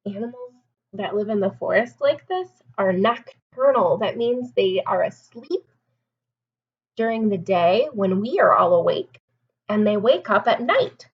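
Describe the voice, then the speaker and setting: A woman's voice: medium pitch, expressive, measured pace, breathy edge, muffled recording. A woman teacher reading a storybook aloud to young children, expressively, with simple explanations and questions.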